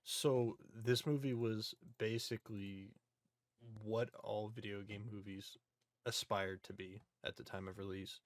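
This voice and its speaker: A man's voice: on the low side, fairly even intonation, unhurried, a little hiss or hum. A man hosting a casual podcast discussion, speaking informally and steering the conversation along.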